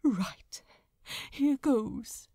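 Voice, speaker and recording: Lady doing a nervous proper british angel guy in love, audiobook dialogue, high voice, takes his time. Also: drawn-out words, dark tone, dry recording, clean studio sound, full character voice. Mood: nervous, formal. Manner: formal, hesitant, precise wording. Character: anxious, formal British male angel, earnestly lovestruck.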